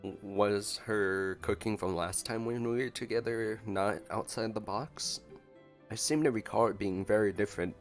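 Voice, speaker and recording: A man's voice: lowish voice, steady tone, moderate pace, soft background music. A man giving casual, playfully joking reaction commentary as a gaming streamer.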